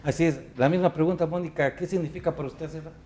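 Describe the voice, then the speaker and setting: A man's voice: fairly high pitch, fairly even intonation, very fast, a little mellow, breathy edge. A man hosting a TV panel, formal and welcoming as he introduces guests and poses questions. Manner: formal, welcoming, slips on a name. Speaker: TV talk show host.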